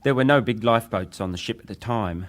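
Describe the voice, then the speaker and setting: Low-voiced, deferential male witness played by an actor. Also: close to an everyday voice. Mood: subdued, deferential. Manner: deferential, hesitant, measured answers. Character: young male ship's wireless operator survivor, deferential witness. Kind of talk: dramatized reading of hearing testimony.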